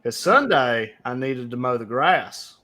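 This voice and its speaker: Loud-voiced young man co-hosting a podcast. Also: mid-range voice, big pitch swings, measured pace. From United States. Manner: loud voice that carries, chatty storytelling. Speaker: podcast co-host. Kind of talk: casual chat. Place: home, remote recording. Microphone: home podcast mic.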